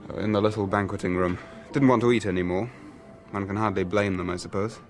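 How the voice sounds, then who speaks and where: A man's voice: fairly low pitch, steady tone, fast, faint music behind, lightly acted. A man playing a fussy, aggrieved restaurant owner in a radio drama, fretful and exasperated. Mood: agitated.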